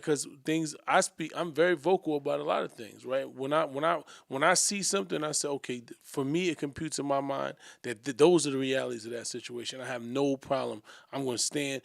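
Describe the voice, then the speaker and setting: A man co-hosting a discussion show, reflecting aloud in a measured way and often asking "right?". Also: medium pitch, fairly even intonation, vocal fry, no room sound, studio quality. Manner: measured, thinking aloud, tag questions. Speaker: podcast co-host.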